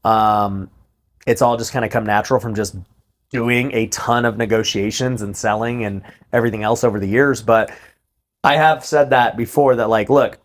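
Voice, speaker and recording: A man's voice: lowish voice, normal speed. A man hosting a podcast, chatting casually and agreeably with his guest, dropping "bro" and "like". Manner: relaxed, agreeable, says "bro" and "like". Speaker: podcast host.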